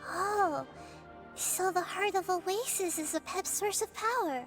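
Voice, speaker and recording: High-voiced, gentle little goddess. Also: female voice, over loud music. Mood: apologetic, curious. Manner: calm, measured, reassuring. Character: small childlike female deity, gentle and wise. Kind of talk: scripted video game voice acting.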